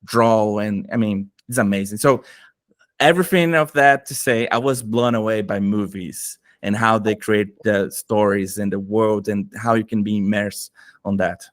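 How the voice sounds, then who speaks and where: A middle-aged man from Brazil with a Brazilian Portuguese accent, talking eagerly as a podcast guest and often pausing to search for words. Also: on the low side, steady tone, no echo, clean studio sound. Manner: enthusiastic, searching for words, frequent fillers. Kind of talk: casual interview conversation.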